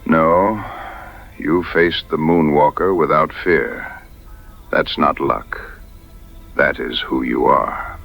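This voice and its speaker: Male actor as injured stoic warrior, very deep, slow, husky, weakened, grave, audio adventure drama. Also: fairly even intonation, a bit bright, faint music behind, exaggerated voice. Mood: pained, admiring. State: weak from injury. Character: wounded, stoic Native warrior, grave and admiring.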